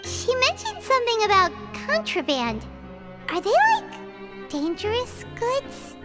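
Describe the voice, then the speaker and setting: A tiny fairy companion from a video game, squeaky and extremely high. The delivery swings wildly up and down, childlike, greedy and excitable. Music plays prominently behind the voice. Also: female voice, slow, heavily acted. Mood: curious, unsure. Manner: childlike, refers to self in third person. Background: background music.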